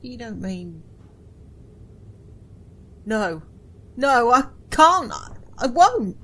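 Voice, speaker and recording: Woman as a high-voiced, frightened prisoner. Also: sing-song, very slow, bright, forceful tone, exaggerated voice. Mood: frightened pleading. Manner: anxious, stammering, urgent questioning. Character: frightened, pleading female prisoner, devoted wife. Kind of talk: audio drama acting.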